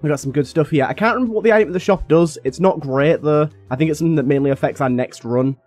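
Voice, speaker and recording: A young man with a slightly raspy voice from being a little ill, chatting casually and thinking aloud as he plays a game. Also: fairly high pitch, fast, darkish tone, no echo, soft background music. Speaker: gaming YouTuber. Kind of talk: casual gameplay commentary.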